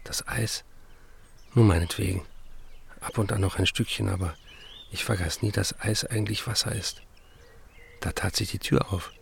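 An actor playing a hard-drinking, excitable male novelist with a gravelly, husky voice, reading letters and memories in a radio play, dryly and wryly. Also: fairly low pitch, normal speed, pauses often, a little hiss or hum. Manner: dry, wry first-person storytelling and letter reading.

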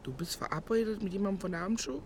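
A woman's voice: medium pitch, very fast, background noise, close to an everyday voice. An actress playing a pushy, determined village woman in a radio play, urgent and probing. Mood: confrontational.

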